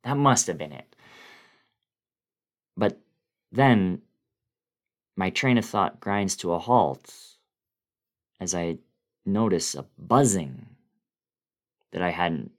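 A man giving a dramatic, suspenseful first-person reading of a horror story, with theatrical pauses. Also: sits low, animated. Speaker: guest reader of a horror story.

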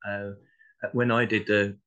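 A man's voice: lowish voice, level tone, unhurried, a bit bright, dull audio. A man from Essex with a southern English accent, a podcast guest chatting casually and hesitantly, often repeating the starts of his words. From Essex, England. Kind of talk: casual discussion.

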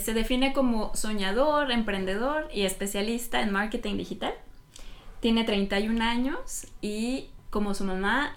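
A woman, hosting a podcast interview and asking questions in a measured, friendly way with many restarts. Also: mid-range voice, fairly bright tone. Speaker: podcast host.

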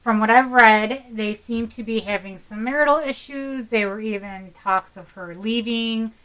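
Woman, bright voice, friendly, steady, casual podcast host. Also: a little high, moderate pace, poor audio. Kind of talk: casual chat and story retelling.

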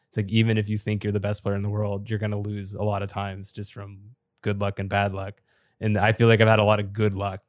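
A slightly raspy, low-voiced man who is a bit sick and losing his voice. He explains at length in a chatty, filler-heavy podcast style. His delivery stays flat, with very little rise and fall. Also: no room sound, clean studio sound. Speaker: podcast co-host and top competitive player.

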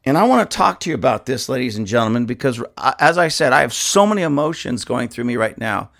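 A man, a pastor, giving impassioned podcast commentary with an indignant, emphatic, repetitive manner and a hoarse, dusty throat. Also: mid-range voice, big pitch swings, quick pace, fairly bright tone.

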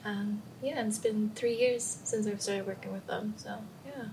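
A young woman from Samoa, a podcast guest talking softly and casually with many fillers. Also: mid-range voice, fairly even intonation, normal speed, low-quality recording. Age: young adult. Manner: soft-spoken, frequent "um" and "like". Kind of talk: casual interview talk.

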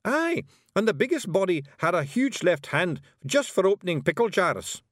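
Cocky high-pitched little Scottish fairy rogue. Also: male voice, big pitch swings, normal speed, no echo, studio quality. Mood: boastful. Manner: exclamatory, brash. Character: boisterous, cheerful little male fairy clansman, cocky rogue.

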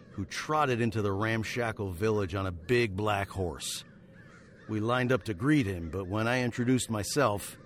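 An actor playing a haunted, weary frontier hero turned fugitive, narrating in wry, wordy literary phrases for radio drama. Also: male voice, fairly low pitch, a little hiss or hum, natural voice. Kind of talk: scripted first-person radio drama narration.

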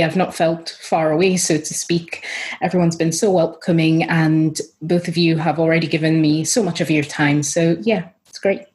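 A woman's voice: on the low side, darkish tone. A woman chatting casually as a participant on a podcast. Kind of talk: casual chat.

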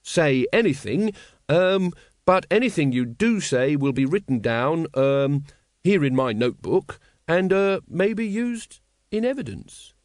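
A man voicing an earnest, dutiful male constable in a storybook, formal and halting like an official reading. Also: on the high side, very animated, no room sound, clean studio sound, voice pushed up. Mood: faltering. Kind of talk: audiobook character acting.

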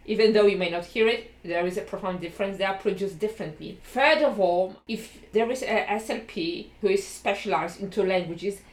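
A woman's voice: medium pitch, brisk, few pauses. Polish-accented woman teaching in an echoey room. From Poland. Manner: explains point by point, often checks in with "right?". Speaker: educator. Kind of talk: explanatory lesson talk.

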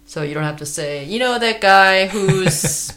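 A podcast co-host chatting casually, easygoing and unhurried, laughing often. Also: mid-range voice, animated, bright, forceful tone. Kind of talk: casual chat.

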